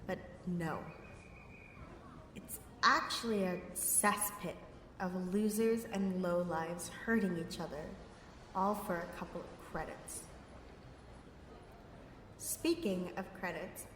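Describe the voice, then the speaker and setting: A woman's voice: on the low side, takes it slowly, fairly bright tone, echoey room, in a large hall, slight background noise. A woman playing a cocky, sarcastic young pickpocket outlaw in a sci-fi audio roleplay, muttering wryly to herself. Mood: bitter, brooding. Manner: wry, sardonic, slangy, talking to herself.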